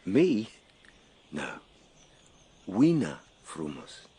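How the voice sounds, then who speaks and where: An earnest gentleman adventurer in a radio drama. His low voice moves animatedly between measured, reflective storytelling and tender coaxing. There is noise behind him. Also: wildly swooping pitch, heavily acted. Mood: tender, cheerful. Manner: measured storytelling alternating with simple coaxing phrases. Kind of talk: radio drama narration and acting.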